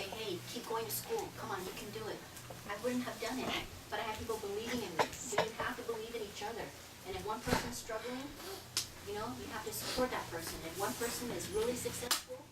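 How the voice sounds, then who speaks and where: A woman's voice: a little high, fast. A middle-aged woman giving an earnest, emphatic motivational talk to family, repeating her points to urge them on. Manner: exhorting, repetitive, emphatic. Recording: distant roomy mic, loud background noise.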